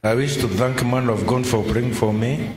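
A man giving a plain, steady testimony into a handheld mic in a church hall. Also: medium pitch, moderate pace. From Kenya. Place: large indoor church hall. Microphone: handheld mic held by an usher. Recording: echoey hall with crowd noise.

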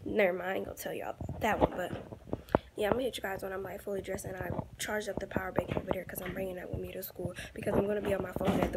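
A woman's voice: mid-range voice, heavy background noise. A teenage girl vlogging casually in a chatty, slangy, rambling way, talking into a phone at arm's length. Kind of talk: casual vlog chat. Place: home indoors, then outdoors. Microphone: phone held at arm's length.

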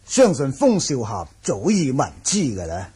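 A man's voice: medium pitch, huge pitch swings, unhurried, mellow, slightly rough, heavily acted. A man acting a polite martial-arts manor lord in a Cantonese radio drama, formal and deferential.

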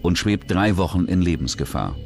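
Male documentary narrator, deep register, expressive intonation, scripted crime storytelling. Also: moderate pace, vocal fry. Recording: music bed under narration. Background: music.

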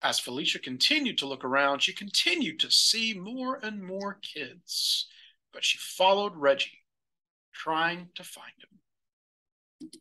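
A man narrates a children's storybook for storytime. His delivery is slow and deliberate, with lively swings in pitch. The voice is bright and forward. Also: rough recording. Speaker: storybook reader.

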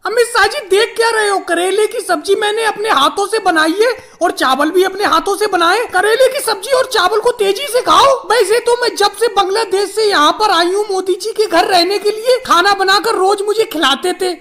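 Cartoon skit role: chatty, scolding elderly auntie, extremely high pitch, female performer. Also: normal speed, hardly any pauses, fairly bright tone. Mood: bustling, hospitable. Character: chatty, homely elderly woman guest, caricature cartoon. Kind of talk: comic cartoon skit dialogue.

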